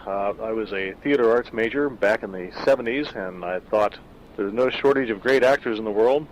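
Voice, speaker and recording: A man in his sixties, an author reading his own horror story and then chatting in a measured, self-deprecating way. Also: lowish voice, a little hiss or hum, rough recording. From California. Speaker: author and narrator. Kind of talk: scripted horror narration and casual backstage talk.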